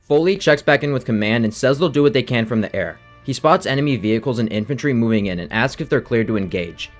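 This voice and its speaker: Male narrator, brisk delivery, scripted game analysis, soft music underneath. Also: mid-range voice. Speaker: video essay narrator.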